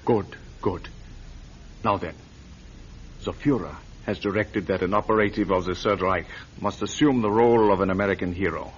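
An actor playing a pompous, commanding major who speaks English in a vintage radio drama. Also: male voice, fairly low pitch, husky, highs cut off. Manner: grand, ceremonious, commanding. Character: pompous high-ranking Nazi major. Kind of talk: 1940s radio acting.

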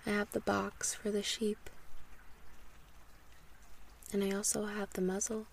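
A hushed little boy prince, as a woman reads him. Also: mid-range voice, monotone, measured pace, vocal fry, slight background noise. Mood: grave, hushed. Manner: soft whispered reading. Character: small gentle boy prince, grave and sad.

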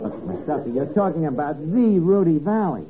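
A man's voice: a little high, animated, moderate pace, phone-quality sound. A man playing a cocky, uneducated tavern manager who wisecracks dryly and slangily in 1950s radio comedy style. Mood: dry, protesting. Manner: slangy, dry wisecracking with hesitations. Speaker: comedy star. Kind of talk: 1950s radio sitcom acting. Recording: noisy old radio broadcast, studio audience. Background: live audience.

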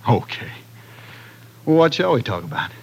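An actor playing an easygoing, protective brother in an old-time radio drama, gentle and slightly halting. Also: male voice, medium pitch, big pitch swings, creaky, breathy edge, exaggerated voice. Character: easygoing, protective, concerned older-brother type. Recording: vintage radio broadcast.

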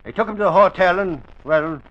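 A man's voice: high-pitched, a little fast, lo-fi sound, lightly acted. A man playing a boastful, blustering Irish would-be actor in an old-time radio comedy drama. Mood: defensive. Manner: blustering, defensive.

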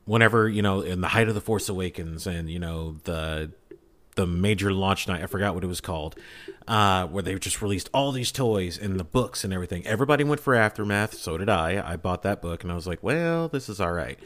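A man with a fairly low voice talks casually as a podcast panelist. He is emphatic and fervent, pressing his opinions on listeners like a devoted fan. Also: a little fast, a bit bright.

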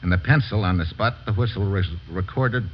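A man's voice: on the low side, fairly quick, natural voice. Casting: male actor as commanding American investigator, clipped and resourceful, vintage radio thriller, muffled noisy recording. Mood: focused, businesslike. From United States. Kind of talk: old-time radio drama acting.

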